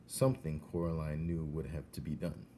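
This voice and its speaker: Low, mellow male storybook narrator. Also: little pitch movement, normal speed, a little hiss or hum. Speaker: storybook reader. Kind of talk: expressive read-aloud narration.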